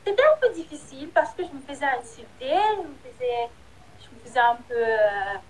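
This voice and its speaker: A woman's voice: high-pitched, very animated, brisk, slight background noise, low-quality recording. A woman, a singer answering interview questions in a candid, hesitant way that often trails off. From Republic of the Congo. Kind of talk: casual interview.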